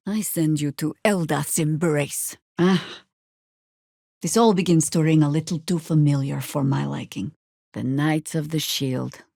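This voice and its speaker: A woman playing a wry, battle-worn middle-aged druid in a video game, with sardonic, world-weary, deliberate phrasing. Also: deep voice, creaky, darkish tone, no room sound, studio quality, full character voice. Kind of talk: video game character acting.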